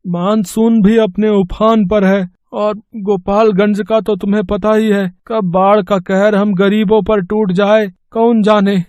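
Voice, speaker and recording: A man's voice: sits high, flat delivery, measured pace, few pauses, a little mellow, smooth, no room sound. A man voicing a poor village labourer, a caring husband and father, earnest and fretful, in a moral-story cartoon. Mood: worried.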